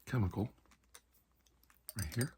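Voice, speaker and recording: A man with a low, mellow voice that is heavily husky and breathy. He plays a reassuring doctor in a soft-spoken ASMR roleplay, often sinking close to a whisper. His delivery is slow and calming, with deliberate pauses. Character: calm, reassuring older military doctor treating alien infection. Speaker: ASMR performer playing a doctor.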